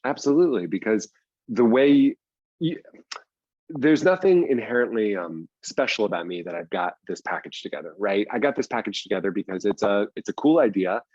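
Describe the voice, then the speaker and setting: A man's voice: fairly low pitch, expressive, normal speed, darkish tone, no echo, dull audio. A man speaking casually as an interview guest, explaining things in loose runs with repeats for emphasis. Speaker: writer and producer, interview guest.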